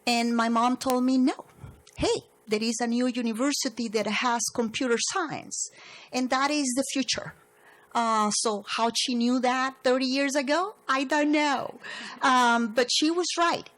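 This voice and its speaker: A middle-aged woman with a Venezuelan Spanish accent and a slightly high voice speaks on a panel. She talks earnestly and fills her pauses with frequent ums and uhs. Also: fairly bright tone. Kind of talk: panel talk.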